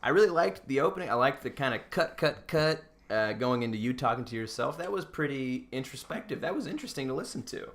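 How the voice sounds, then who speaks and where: A man with an American accent talks as a podcast co-host. He gives feedback in a loose, encouraging way, like a relaxed chat between friends, at a middling pitch. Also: fast talker, few pauses. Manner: encouraging, informal. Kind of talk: casual chat giving feedback.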